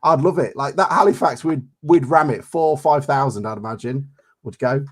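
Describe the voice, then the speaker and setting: A man's voice: medium pitch, moderate pace. An English man co-hosting a football podcast, chatting casually with blunt, jokey banter. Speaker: podcast co-host. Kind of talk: casual chat.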